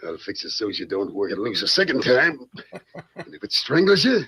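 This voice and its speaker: An actor playing a menacing, taunting male outlaw in an old-time radio western serial, with a gravelly voice. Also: medium pitch, very animated, normal speed, pushed and bright, exaggerated voice. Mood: gloating menace. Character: gloating, panicky male outlaw villain in a western. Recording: dull vintage radio, music behind.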